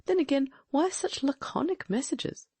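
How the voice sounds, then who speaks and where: A woman's voice: high-pitched, lively intonation, a little mellow, no room sound, voice pushed up. A woman reading as a shrewd male detective. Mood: musing. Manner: probing, musing, quick questions. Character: shrewd, inquisitive male detective, middle-aged. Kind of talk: audiobook reading.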